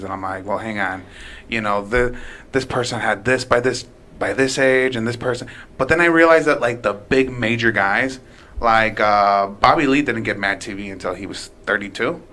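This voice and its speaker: A man's voice: on the low side, moderate pace, bright, forceful tone. A man in his late twenties, a guest telling stories in a casual, profane, rambling way with many restarts. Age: late 20s. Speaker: podcast guest, aspiring comedian. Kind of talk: casual storytelling chat.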